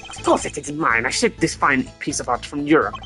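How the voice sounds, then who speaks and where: A man's voice: a little high, big pitch swings, fairly quick, sharp tone, faint music behind. A man voicing a haughty, possessive male art owner in a video game, pompous and indignant. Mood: boastful.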